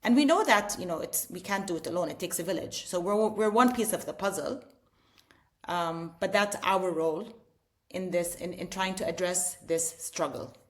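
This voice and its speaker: A woman's voice: fairly low pitch, normal speed. Earnest middle-aged woman explaining. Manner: earnest, explanatory, frequent restarts and "you know". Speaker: edtech founder, interview guest. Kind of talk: casual interview conversation.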